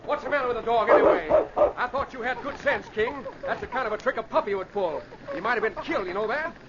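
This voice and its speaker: An excitable, plain-spoken frontier fellow in a radio adventure. The voice sits high for a man, blunt and folksy with a North American accent. It sounds like a dull old broadcast with faint noise. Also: quick pace, exaggerated voice. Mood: scolding. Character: excitable, gruff frontier man, quick to scold, humble. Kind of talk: 1940s-50s radio drama acting.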